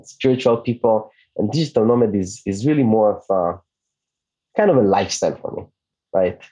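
A man's voice: fairly low pitch, dull audio. A man in his late thirties from Israel, a native Hebrew speaker, chatting casually as an interview guest with frequent restarts. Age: 30s. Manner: relaxed, restarts often, light laughs. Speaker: podcast guest, digital nomad.

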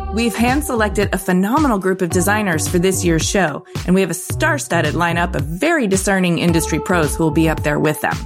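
A woman hosting a design podcast, reading a promo and asking interview questions in an upbeat, friendly way. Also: medium pitch. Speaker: podcast host. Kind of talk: host read and interview.

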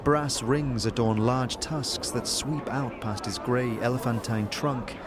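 A man narrating a fantasy audio drama in a measured, descriptive storytelling manner. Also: mid-range voice, steady tone, crowd noise, natural voice. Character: male storyteller of a grim fantasy adventure. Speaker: narrator and protagonist. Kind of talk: scripted second-person fantasy narration.

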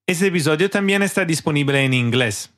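A man with an Italian accent, hosting an interview podcast and reading a measured, reflective introduction in Spanish. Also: fairly high pitch, animated, fairly bright tone. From Italy. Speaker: podcast host.

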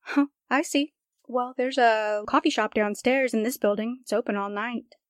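Female studio secretary for radio play actress: fairly high voice, dry close sound, polite, efficient, caring. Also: moderate pace, no echo, natural voice. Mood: concerned. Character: dutiful, concerned female radio station assistant. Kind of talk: 1940s radio drama acting.

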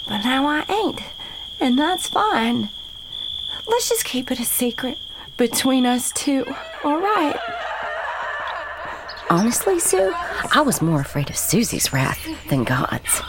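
A woman playing a frightened young mother who speaks in hushed tones in a radio drama. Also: high-pitched, very animated, takes it slowly, long pauses, noisy background, heavily acted. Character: frightened young mother in the 1930s rural South. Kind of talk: 1930s southern gothic radio drama.

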